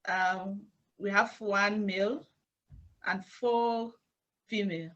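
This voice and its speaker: A woman with a Cameroonian accent answering interview questions as a church intern, in formal, halting, measured phrases. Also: medium pitch, steady tone, a bit bright, muffled recording.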